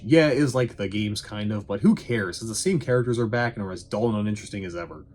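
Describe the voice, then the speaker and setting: A man's voice: medium pitch, darkish tone. A man giving a casual, opinionated movie review in a wry, sarcastic, conversational way. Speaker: film reviewer and host.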